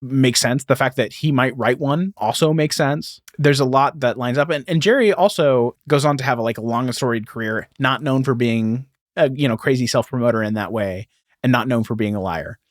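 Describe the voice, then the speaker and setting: A man with an average-pitched voice co-hosts a podcast. He explains things casually and corrects himself mid-sentence. The sound is dry, with almost no room on it. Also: normal speed, no echo. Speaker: podcast co-host. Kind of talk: casual explanatory chat with some reading aloud.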